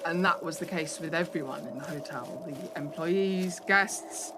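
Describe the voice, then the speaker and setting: An actress playing a shaken, suspicious young hotel assistant manager, asking hesitant, probing questions in a radio play. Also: female voice, sits low, unhurried. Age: young adult. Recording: noisy recording with background ambience.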